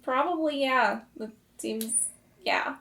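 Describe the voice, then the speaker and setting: A woman playing a nervous, shaken young girl in a tabletop game, speaking in a halting, uncertain way. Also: sits high, gravelly, a bit bright, heavily acted. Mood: rattled. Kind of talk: tabletop roleplay.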